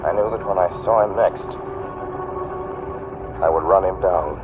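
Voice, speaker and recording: A man acting a haunted, anxious motorist in a 1940s radio suspense drama, speaking deliberately with tense hesitations. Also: low voice. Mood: brooding dread. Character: haunted male motorist, increasingly anxious, narrating his journey. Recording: scratchy, tinny 1940s radio recording, noisy.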